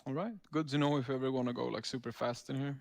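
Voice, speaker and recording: A man's voice: mid-range voice, steady tone, quick pace, gravelly, a little mellow, dry recording. A man giving casual, laid-back gameplay commentary as a raid leader, explaining tactics as he goes.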